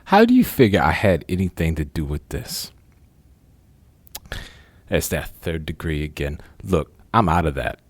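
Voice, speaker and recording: A man playing a wary, gruff retired crook who is suspicious of an old partner, in an audio drama. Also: very deep voice, big pitch swings, measured pace, long pauses, no echo, heavily acted. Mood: guarded. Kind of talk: amateur audio drama acting.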